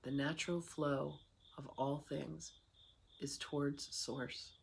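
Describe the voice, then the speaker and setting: A guided meditation leader speaks slowly and soothingly, leaving long pauses between phrases. The voice sits at a middle pitch. Clear background noise with chirping insects runs behind it. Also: flat delivery.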